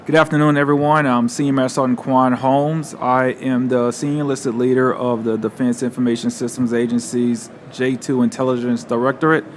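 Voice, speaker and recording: A man's voice: mid-range voice, flat delivery, a little fast, hardly any pauses, a little hiss or hum. Man from Louisiana introducing himself. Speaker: panelist.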